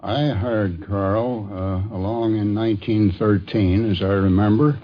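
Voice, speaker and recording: An older man telling an anecdote in a halting, deliberate way, interviewed for a 1950s radio programme. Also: lowish voice, fairly even intonation. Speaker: interviewee, former magazine editor. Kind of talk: anecdotal reminiscence. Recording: dull 1950s radio recording.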